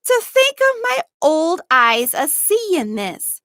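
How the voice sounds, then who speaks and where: A woman voicing a kindly, loyal old male gardener in a storybook, speaking in a gentle, deliberate rustic dialect. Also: very high voice, very animated, dry recording, studio quality, voice pushed up, exaggerated voice. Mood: tender wonder. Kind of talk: storybook read-aloud.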